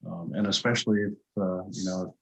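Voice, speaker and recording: An older man explaining his field as an interview guest, halting now and then as he thinks aloud. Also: low-pitched, fairly even intonation. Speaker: guest, avionics industry professional and pilot. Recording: echoey, roomy call audio.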